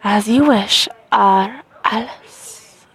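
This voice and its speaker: An eerie hooded stranger from a story game speaks in a calm, hushed, unhurried way, as a woman puts him on. The voice sits a little lower than her own and is recorded close and dry. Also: husky, no room sound, heavily acted, poor audio. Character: mysterious hooded young man, calm and eerie.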